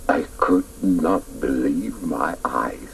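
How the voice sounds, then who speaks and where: Reflective man telling his boyhood story on radio, really low slow voice, bit dramatic. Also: expressive, creaky, slight background noise, exaggerated voice, rough recording. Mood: wistful. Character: wistful grown man recalling his boyhood.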